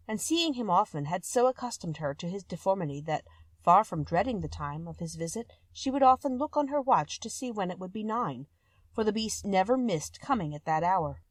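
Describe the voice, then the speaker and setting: A woman's voice: mid-range voice, expressive, brisk, dry recording. A woman reading a classic fairy tale aloud as scripted storybook narration.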